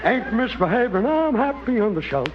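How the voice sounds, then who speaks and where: A man's voice: very high-pitched, big pitch swings, moderate pace, over a noisy crowd, very noisy. A man performing a jazz song in an old-time style. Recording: dull old recording, loud music.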